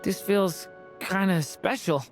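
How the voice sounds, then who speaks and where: An actor playing a gentle, earnest young dragon boy in a video game, speaking in a hesitant, reflective way. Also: medium pitch, takes it slowly, music in the background.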